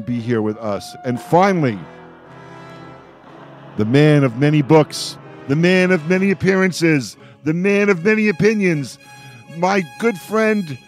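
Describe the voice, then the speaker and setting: A man hosting a roundtable in a playful, showman-like way, with joking announcements and some hesitations. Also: on the high side. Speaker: radio show host. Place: radio show held over a video call.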